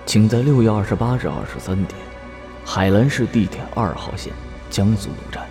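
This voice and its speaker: An ominous narrator of a horror radio drama. His low voice is solemn and deliberate, laying out deadly rules over loud music.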